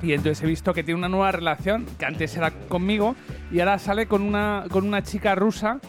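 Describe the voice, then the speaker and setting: A man playing a self-styled psychic magician on a comedy radio show, rambling in a deadpan way. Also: sits high, fairly quick, faint music behind, natural voice. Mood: earnest. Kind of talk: comic radio bit.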